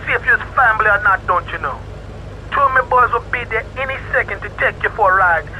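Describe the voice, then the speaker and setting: A man's voice: high voice, lively intonation, fast talker, sharp tone, a little hiss or hum, heavily acted, poor audio. A man playing a brash Jamaican gang boss in a video game, swaggering through slangy patois orders. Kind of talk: video game cutscene acting.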